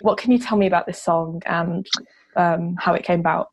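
A woman's voice: lowish voice, normal speed, a little hiss or hum, low-quality recording. A woman radio presenter asking an interview guest questions in a friendly, slightly hesitant way.

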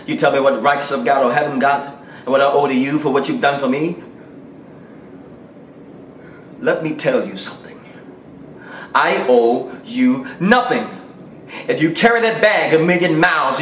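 A man performing a dramatic monologue as a defiant grown son confronting his overbearing father, emphatic and emotional, with deliberate pauses. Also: mid-range voice, lively intonation, natural voice. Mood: controlled anger. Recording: roomy, distant mic, loud background noise.

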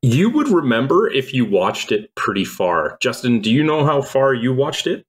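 Measured man explaining with steady ease. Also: mid-range voice. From Croatia. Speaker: podcast co-host. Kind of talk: casual podcast chat.

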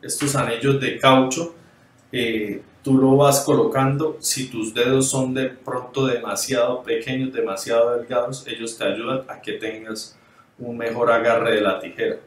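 A man's voice: mid-range voice, level tone, recorded from a distance. A man giving an online dog grooming lesson over slides, explaining step by step, often checking in with his students and restarting words. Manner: halting, repeats and corrects words. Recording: echoey room, voice off-mic.

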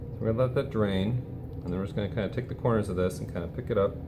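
A man teaching a simple cooking demonstration at an indoor lab bench, talking in a relaxed, explanatory way with plenty of "kinda" fillers. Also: fairly low pitch, racing. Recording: steady background noise.

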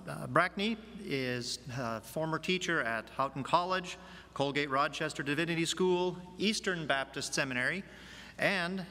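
High-voiced man introducing, echoing chapel. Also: sharp tone. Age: middle-aged. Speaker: moderator introducing a speaker. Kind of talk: formal spoken introduction. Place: large church chapel, at lectern. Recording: echoey chapel, long reverb.

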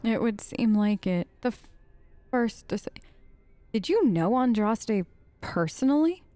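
Girl gamer role playing off the cuff, kinda hesitant, highish voice, dry mic. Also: animated, slow pace, warm tone, dry recording. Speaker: let's play gamer speaking as her character. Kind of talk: casual improvised role-play.

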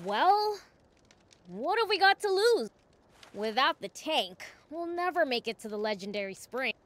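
A woman's voice: sits high, very animated, unhurried, fairly bright tone, heavily acted. A woman playing a brash, impish young demon prince in a video game. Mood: curious, resolved.

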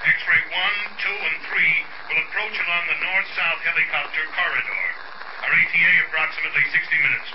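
A businesslike pilot in an old radio play. His slightly high voice comes over a dull aircraft radio buried in loud noise. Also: fairly even intonation, fairly quick. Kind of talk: old-time radio drama acting. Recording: very noisy, muffled radio transmission.

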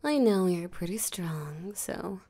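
Woman doing a flirty menacing vampire lady for roleplay audio, possessive n teasing, breathy and whispery, lots of vocal fry, really unhurried. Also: on the low side, animated, warm tone, full character voice. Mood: hungry, menacing. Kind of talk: roleplay audio drama, intimate acting.

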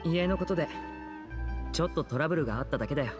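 A male actor playing a composed, protective teenage magician in an anime game, speaking smoothly and earnestly. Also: a little high, lively intonation, rapid-fire, over music, close to an everyday voice. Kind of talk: Japanese anime game voice acting.

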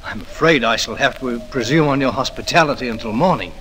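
An actor playing a lost, polite gentleman traveller, formal and pleading, in 1940s radio drama style. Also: medium pitch, fast talker, lightly acted. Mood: anxious, polite. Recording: noisy old 1940s radio recording.

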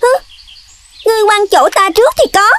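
Squeaky, grumpy cartoon mouse. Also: female voice, fairly quick, noisy background. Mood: indignant. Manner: grumbling, accusing. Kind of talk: children's cartoon dubbing. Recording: music behind the voice.

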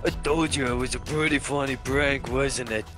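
A man playing a smug villainous farmer boss in a video game roleplay, halting and taunting. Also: mid-range voice, fairly even intonation, music in the background, close to an everyday voice.

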